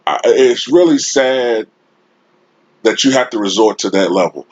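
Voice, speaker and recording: A man with a deep voice giving an emphatic, informal opinion rant to his viewers as a sports YouTuber, recorded on a desktop USB microphone. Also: expressive, a little mellow, dry recording, highs cut off. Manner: emphatic, informal, drawn-out delivery with frequent rhetorical tags. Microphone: Blue Snowball-type desktop USB microphone.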